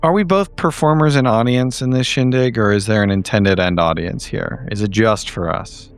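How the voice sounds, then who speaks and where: A man's voice: lowish voice, normal speed, clean tone. A man playing a tabletop roleplaying game, talking in a dry, casual, wordy way. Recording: music bed behind the voice.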